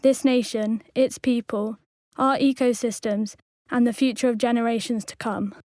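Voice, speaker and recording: A woman reading a scripted activist declaration, solemn, measured and formal. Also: fairly high pitch, fairly even intonation, darkish tone, no room sound, studio quality. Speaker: activist reader.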